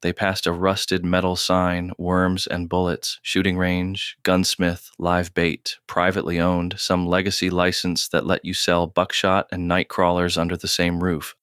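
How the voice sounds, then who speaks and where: A man narrating a scripted audio drama in a measured, terse storytelling manner, recorded close and dry. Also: deep voice, monotone, a bit bright, smooth, dry recording.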